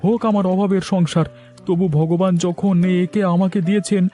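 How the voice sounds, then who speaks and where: A man's voice: high-pitched, steady tone, moderate pace, dark tone, faint music behind, close to an everyday voice. A man voicing a kind-hearted, pious poor villager in a cartoon, exclaiming with pity. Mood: shocked, pitying. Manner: exclamatory, pitying. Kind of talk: cartoon voice acting.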